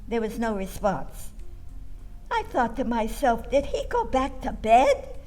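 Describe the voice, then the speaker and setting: Brief: woman storyteller, mellow voice, slow wry narration. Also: on the high side, sing-song, faint music behind. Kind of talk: storytelling performance.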